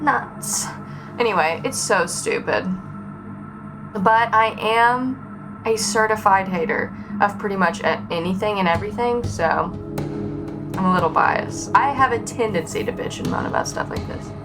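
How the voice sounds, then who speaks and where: A woman with a mid-pitched voice chats as she streams a game. She rambles casually, complaining with sarcasm and salty language, over clearly audible music. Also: measured pace. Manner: sarcastic, profane, animated griping. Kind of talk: casual, rambling livestream chat.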